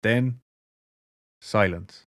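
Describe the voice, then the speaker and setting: A man's voice: fairly low pitch, very slow, fairly bright tone. A man with an Irish accent casually telling a story to a co-host, laughing often and swearing freely. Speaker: podcast co-host.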